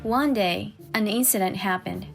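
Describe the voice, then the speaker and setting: A woman voicing a forty-year-old housewife and crafts artist in a dramatized story, expressive and exasperated yet caring. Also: mid-range voice, gravelly, close to an everyday voice. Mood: measured narrating. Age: 40s. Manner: expressive, indignant in dialogue, measured when narrating. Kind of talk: dramatized first-person story narration and dialogue. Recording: background music under the voice.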